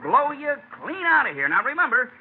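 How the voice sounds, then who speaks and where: An actor playing a blunt, emphatic pest exterminator with rough grammar in an old-time radio comedy. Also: male voice, very high voice, big pitch swings, brisk, full character voice. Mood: emphatic warning. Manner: blunt, ungrammatical tough talk. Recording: noisy, tinny old radio recording.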